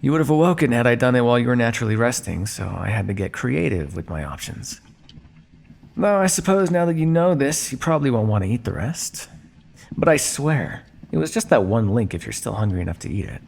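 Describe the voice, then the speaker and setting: A man's voice: medium pitch, big pitch swings, fairly quick, vocal fry, darkish tone. A man playing a smug, teasing vampire scientist captor in an ASMR roleplay, speaking with a theatrical, drawling, mock-polite and sardonic manner. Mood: smug, explanatory. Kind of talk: ASMR roleplay monologue addressed to listener.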